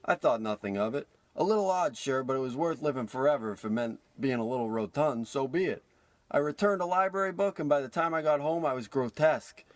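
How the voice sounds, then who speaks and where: A man's voice: medium pitch, a little fast, dry recording, lightly acted. A man playing a cranky, manic old miser turned do-gooder in a parody of old radio drama. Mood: sorrowful, confessional.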